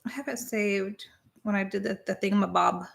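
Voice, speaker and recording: A woman's voice: medium pitch, fairly even intonation, moderate pace, gravelly. A woman co-hosting a live stream, chatting casually and rambling as she reads viewer comments. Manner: chatty and rambling, spelling words out. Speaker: co-host of a live watch party stream.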